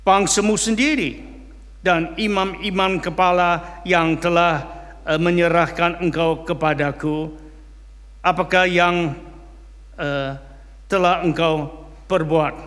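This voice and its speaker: Slow, halting foreign-accented preacher in a hall. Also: male voice, on the high side, fairly even intonation, fairly bright tone. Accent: non-native Indonesian. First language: English. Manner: slow, halting, with frequent hesitations. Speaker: guest preacher. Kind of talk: sermon with prayer and scripture reading.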